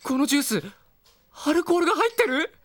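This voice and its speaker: A woman's voice: high-pitched, very animated, fast. A woman voicing a long-suffering young male sidekick in a comic audio drama, with blunt, grumbling retorts. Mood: alarmed.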